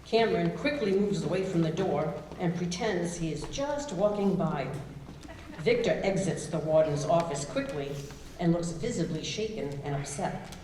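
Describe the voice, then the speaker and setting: A woman's voice: fairly low pitch, lively intonation, moderate pace. A woman reading stage directions as scripted narration in a live staged reading. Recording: echoey distant live room, faint noise.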